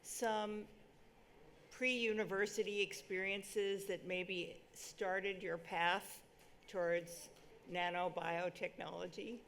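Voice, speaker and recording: A woman's voice: medium pitch, level tone, slow, a bit bright, slight background noise. A woman moderating a symposium panel, asking questions in a casual, friendly way.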